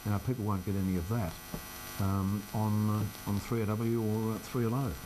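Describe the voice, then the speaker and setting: A man's voice: on the low side, flat delivery, normal speed, noisy background. An older Australian man, a local radio presenter, chatting informally in an interview with a wry, self-deprecating manner. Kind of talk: casual interview chat. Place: radio studio.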